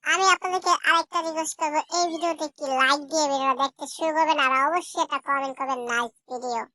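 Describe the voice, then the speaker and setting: A very high, childlike voice put on by a man with a voice changer effect. It is bright and sharp, with a dry, close sound, delivering scripted narration. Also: fairly even intonation, quick pace, no echo, heavily acted. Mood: chirpy demo. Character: childlike squeaky altered voice from a voice changer. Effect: pitch-shifting voice changer.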